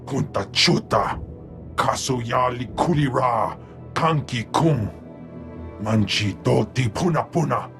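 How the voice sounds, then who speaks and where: A man's voice: medium pitch, expressive, slow, creaky, a bit bright, soft background music, heavily acted. A man voicing a stern alien Jedi master in a video game, speaking clipped syllables of an invented alien tongue. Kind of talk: video game cutscene acting.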